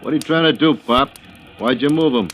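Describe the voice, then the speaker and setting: An actor with an American accent playing a cocky, mocking gangster in a 1940s radio drama, bossy and slangy. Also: male voice, mid-range voice, a little fast, a bit bright, close to an everyday voice. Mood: menacing. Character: cocky, mocking male hoodlum gang leader. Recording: noisy old radio recording.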